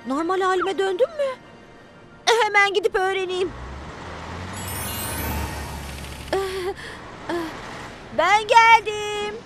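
Very high childlike voice, excitable little bus, whiny, expressive, children's cartoon, music behind. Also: female voice, measured pace. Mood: relieved. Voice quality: childlike, squeaky. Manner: expressive, exclamatory.

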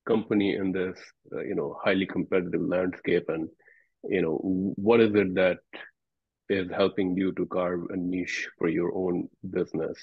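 Deep husky host on a tinny call. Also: male voice, dry recording. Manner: hesitant, rambling questions. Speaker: interview host. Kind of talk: casual interview questions. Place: home room via video call. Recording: dry, phone-like call audio.

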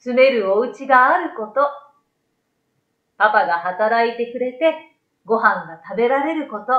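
Caring storybook mother, acted by a woman, motherly and tender, higher voice, roomy sound. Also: dull audio. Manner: motherly, gentle explaining.

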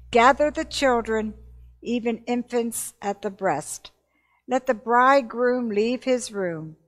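An older woman reading prayer and scripture aloud in a solemn, measured and formal manner. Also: medium pitch. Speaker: scripture reader. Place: indoors at a church lectern.

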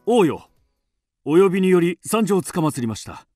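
A man's voice: high-pitched, very animated, normal speed, a little mellow, dry recording, studio quality, faint music behind. A man playing a loyal, driven master swordsmith, earnest and intense, in a radio drama. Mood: deferential, awed.

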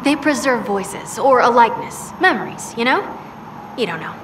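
An actress playing an excitable female dwarf arcanist who talks in chatty, rambling bursts. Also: fairly high pitch, moderate pace, noisy background, natural voice.

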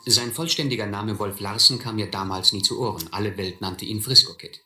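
A middle-aged actor playing a reflective man who recalls his boyhood, narrating a radio play in measured, literary style. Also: on the low side, pushed and bright, soft background music. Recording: echoey old recording, faint noise.

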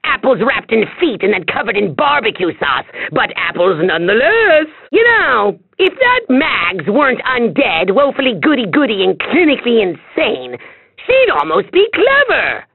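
A man voicing a small, bombastic, petulant evil-genius villain for a video game, with a shrill, nasal, scratchy voice and pompous theatrical delivery. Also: huge pitch swings, gravelly, breathy edge, dry recording, narrow, tinny audio, exaggerated voice. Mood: musing, sardonic. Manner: pompous, theatrical, drawn-out boasts and sudden shouts.

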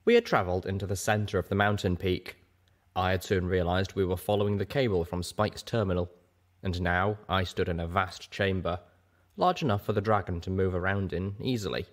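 Low-pitched man narrating a story. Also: fairly even intonation, a little fast. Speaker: audiobook narrator. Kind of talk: scripted first-person story narration.

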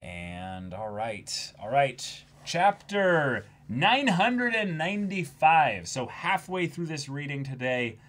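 A young man talking casually as co-host of a livestream, in a rambling, jokey, animated way. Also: fairly high pitch, takes it slowly, few pauses. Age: young adult. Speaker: co-host of a manga reading stream. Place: indoor room, seated before a backdrop.

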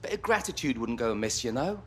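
An actor playing a cocky, teasing middle-aged sports agent in a video game. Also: male voice, mid-range voice, very animated, quick pace, a bit bright, a little hiss or hum. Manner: brash, teasing.

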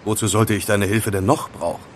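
An actor playing a wary, questioning young student in a German audio drama. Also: male voice, on the low side, fairly even intonation, fairly quick, slight background noise, lightly acted. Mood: suspicious. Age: young adult.

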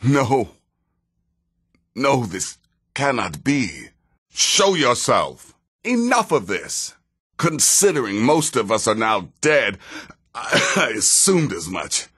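An actor playing a seasoned, sardonic assassin in a video game, speaking in a measured, dry way with wry menace. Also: male voice, medium pitch, huge pitch swings, fairly bright tone, husky, full character voice. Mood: urgent, grim. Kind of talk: video game character dialogue.

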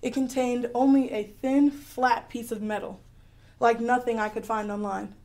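A woman playing an adventurous, clever girl heroine who tells her own story in a radio drama, earnest and expressive. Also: on the high side, normal speed, lightly acted. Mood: excited, wondering. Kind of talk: first-person radio drama narration.

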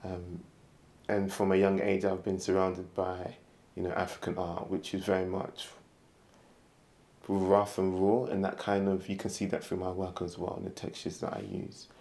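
A man speaks in a low, gravelly voice, calm and reflective. His tone is mellow and fairly flat. Faint studio hum sits behind him. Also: normal speed. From London. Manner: calm, reflective, soft-spoken. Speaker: woodturner, interviewee. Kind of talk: interview. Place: woodturning studio workshop.

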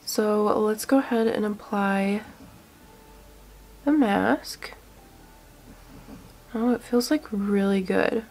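A woman's voice: medium pitch, takes it slowly, long pauses, darkish tone, slight background noise. A young woman in her twenties, stuffy with a cold, chatting eagerly through a casual skincare review in a small room, full of likes and fillers. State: congested from a cold. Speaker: beauty vlogger.

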